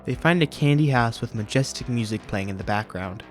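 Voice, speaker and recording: A man's voice: mid-range voice, fairly quick, over loud music. A man hosting a video review, narrating and commenting from a script. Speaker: YouTube host reviewing horror videos.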